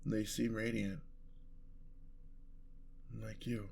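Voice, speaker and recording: Male actor as courtly vampire lover for ASMR roleplay: unhurried, creaky delivery, tender and sentimental. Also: lowish voice, monotone, a little mellow, heavily acted. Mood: fond, wistful. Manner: formal, flowery, tender old-fashioned phrasing. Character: gentle, lovestruck, world-weary male vampire, courtly and sentimental.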